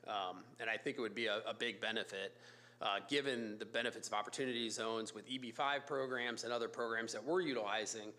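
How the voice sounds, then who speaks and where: A man with a raspy voice from a cold, a company executive making a casual, filler-heavy pitch to a city council. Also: sharp tone. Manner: informal, fillers and restarts, brisk business pitch. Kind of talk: public comment at a council meeting. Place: council chamber.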